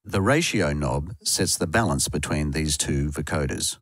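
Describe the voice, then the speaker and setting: A man reading a scripted software tutorial as narrator, recorded as a voice-over. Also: rumbling low, expressive, a little slow. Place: close dry voice-over recording.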